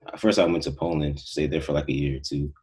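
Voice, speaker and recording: A man's voice: rumbling low, level tone, fast, darkish tone, slightly rough. A young man from Houston, a podcast guest, chatting in a laid-back, slangy way with trailing phrases. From Houston, Texas. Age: young adult. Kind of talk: casual chat.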